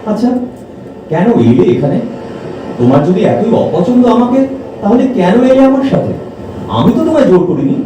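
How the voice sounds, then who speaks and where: Anxious young male suitor played by an actor, highish mellow voice, swooping intonation, pleading; echoey hall audio drama. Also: sing-song, normal speed, long pauses. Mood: anxious, hurt. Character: Rahul, gentle, self-effacing, anxious young suitor. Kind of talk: Bengali audio drama acting. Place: multipurpose hall performance. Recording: echoey hall, distant mic, faint noise.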